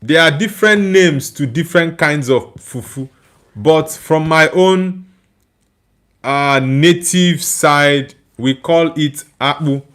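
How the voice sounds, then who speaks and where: A man's voice: a little high, takes it slowly, a bit bright. A young man, hosting a live football watchalong, chatting casually and laughing while he reads viewer comments. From Nigeria. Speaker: watchalong streamer. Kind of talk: casual live match reaction and chat reading.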